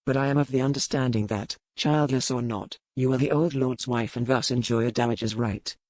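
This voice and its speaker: A woman voicing an earnest male physician in a storybook, speaking formally and with measured courtesy. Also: rumbling low, darkish tone, no room sound, voice pushed down.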